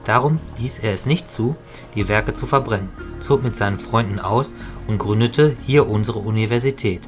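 A man playing a calm, knowledgeable mentor mage, explaining in a measured way in an audio drama. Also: on the low side, over music, close to an everyday voice. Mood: grave recounting. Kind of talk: hobby audio drama storytelling.

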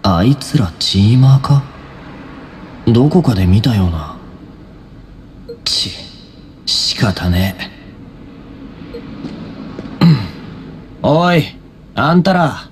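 A cool, gruff teenage boy from an anime game, speaking slowly with lively swings in pitch. He sounds wary and youthful, in a long reverb. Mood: wary, irritated. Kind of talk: anime video game voice acting. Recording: long reverb, faint background noise.

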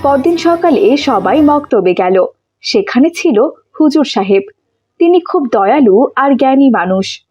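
High-pitched woman narrating a children's story. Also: expressive, unhurried, darkish tone, breathy edge, faint music behind. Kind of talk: scripted children's cartoon storytelling.

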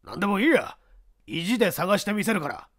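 A jealous, brutish young man played by a male voice actor makes threats and demands. His voice is high for a man and very animated, in audiobook drama style. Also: quick pace, exaggerated voice. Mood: angry, demanding. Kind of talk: audiobook character acting.

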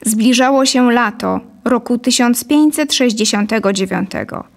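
A woman's voice: a little high, moderate pace, gravelly. A woman in her thirties reading a scripted story as narrator, close to a studio microphone. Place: dark small studio, indoors. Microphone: studio condenser on boom, close.

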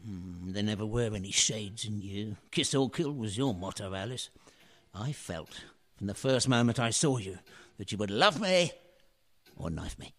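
Man acting a wistful failed painter, expressive. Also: lowish voice, normal speed. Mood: rueful, tender. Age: middle-aged. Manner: wistful, theatrical. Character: washed-up middle-aged painter, wry, regretful, self-mocking husband. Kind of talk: radio play acting.

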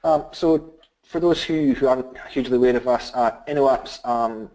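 A middle-aged man with a Scottish accent presenting a business webinar, halting with many fillers and restarts. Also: medium pitch, darkish tone, poor audio. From Scotland.

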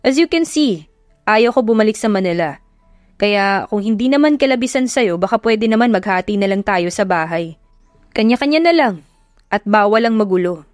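A woman's voice: mid-range voice, expressive, moderate pace, no echo, studio quality, soft background music, close to an everyday voice. A woman voicing a cocky, teasing young man who talks in a smug way.

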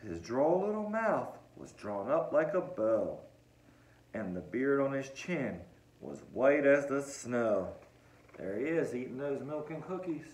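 A man in his forties reading a rhyming storybook aloud to children, with a sing-song delivery and dramatic pauses. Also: mid-range voice, very animated, a little mellow, slight background noise. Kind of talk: expressive read-aloud of a Christmas verse storybook. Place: living room at home, indoors. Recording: roomy, echoing home recording.

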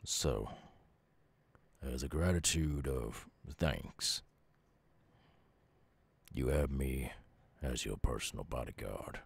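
A man playing a gruff, lonely but gentle werewolf with a Southern US accent in an ASMR roleplay, speaking softly and haltingly. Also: no room sound, exaggerated voice. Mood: grateful, earnest. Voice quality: gravelly, very deep, husky.

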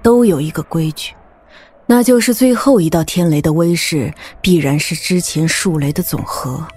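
Low, husky, composed woman martial elder. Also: moderate pace, a little mellow. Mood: grave, probing. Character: composed, probing female martial arts elder. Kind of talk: audio drama acting.

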